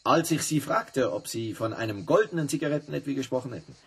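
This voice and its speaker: Radio drama role: sardonic hotel manager, husky mid-pitched voice, formal, condescending, suspicious. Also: male voice, expressive, quick pace. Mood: sardonic suspicion. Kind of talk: radio play dialogue.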